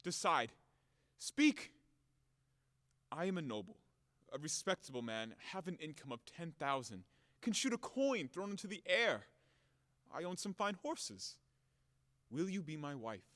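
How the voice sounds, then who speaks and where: A high, forceful male voice with big swings of intonation rings out in a long reverb. It is a man acting a blustering gentleman landowner in an audio play, hopelessly lovestruck, declaiming with melodrama and lurching between bluster and pleading. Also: sing-song, unhurried, pauses often. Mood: earnest, pleading. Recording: long hall reverb, sometimes off-mic.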